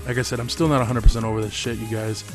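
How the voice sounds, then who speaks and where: A man's voice: fairly low pitch, level tone, rapid-fire, soft background music. A man hosting his gaming channel, chatting casually over gameplay. He is tired and losing his voice, and he rambles in a laid-back way and swears freely.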